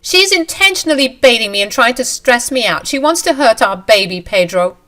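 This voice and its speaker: A manipulative young mistress who whines and accuses, as a woman narrator puts her on. She pitches her voice up and pushes it loud and sharp, and she keeps playing the victim. It belongs to a spoken drama story. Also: expressive, moderate pace. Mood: indignant. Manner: shrill, accusing and self-pitying.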